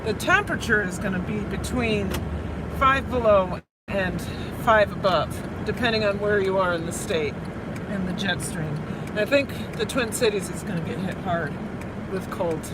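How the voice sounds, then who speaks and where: A woman's voice: mid-range voice, normal speed. A woman chatting casually on her daily video blog, feeling feverish after a flu shot, talking while driving on a winter road. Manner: chatty, rambling, laughs at herself. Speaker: vlogger. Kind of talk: casual chat. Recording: far from the mic, loud road noise.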